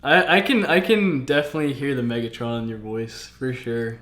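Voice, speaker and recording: A man's voice: mid-range voice, steady tone, moderate pace, fairly bright tone. A man hosting a casual chat on a game stream. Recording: roomy, echoey home stream audio.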